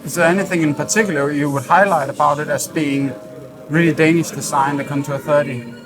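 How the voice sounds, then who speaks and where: A man's voice: on the high side, fairly even intonation, fairly quick, over music, low-quality recording. A man hosting a conversational interview on location, putting questions to a guest. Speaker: interview host. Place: busy hi-fi trade show hall. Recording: crowd chatter around the voice. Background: crowd chatter.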